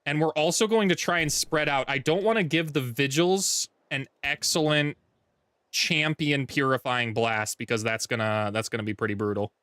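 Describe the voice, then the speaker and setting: Male streamer, deep voice, casual rambling commentary, dry close home mic. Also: steady tone, normal speed, bright, forceful tone, dry recording. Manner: rambling, self-correcting, thinks aloud. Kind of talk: casual gameplay livestream chat. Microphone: desk mic with noise gate.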